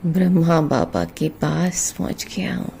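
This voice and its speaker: A woman leading a guided meditation in a hushed, unhurried way, with long pauses between phrases. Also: sits low, sing-song, gravelly, slight background noise. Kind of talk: slow guided meditation commentary. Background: meditation music.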